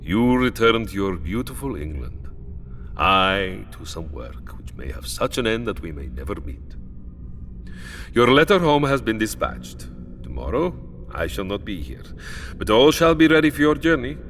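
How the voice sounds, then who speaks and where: An actor playing a sinister, courtly old vampire count with grave, theatrical politeness in an audio drama. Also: male voice, fairly low pitch, big pitch swings, moderate pace, a bit bright, soft background music. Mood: grave, formal.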